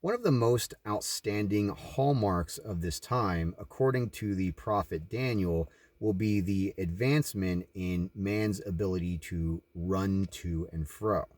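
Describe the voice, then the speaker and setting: Very deep-voiced man reading in an echoey room. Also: a little slow, few pauses, a little mellow. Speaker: reader of a religious book. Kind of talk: scripted narration, read aloud. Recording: roomy echo, distant from the mic.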